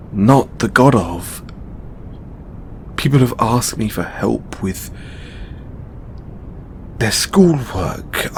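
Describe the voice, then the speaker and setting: A man playing a weary, burdened god in an intimate audio roleplay, speaking haltingly with sighs and long pauses. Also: medium pitch, a little hiss or hum, natural voice. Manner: halting, sighing, with drawn-out pauses. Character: weary, burdened male god confiding in his lover. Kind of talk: audio roleplay monologue, intimate dramatic acting.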